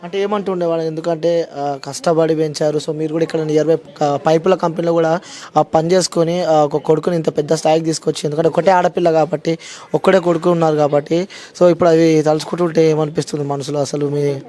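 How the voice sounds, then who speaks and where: A man's voice: on the high side, steady tone, fast, warm tone. A young man asking conversational interview questions, mixing in English words. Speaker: interviewer, reporter.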